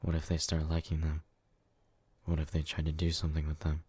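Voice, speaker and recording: A man plays a jealous, brutish, devoted lover in an ASMR romance roleplay. His voice is extremely low and dark, recorded close and dry. He murmurs with clingy, obsessive intensity. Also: monotone, brisk, slightly rough, dry recording.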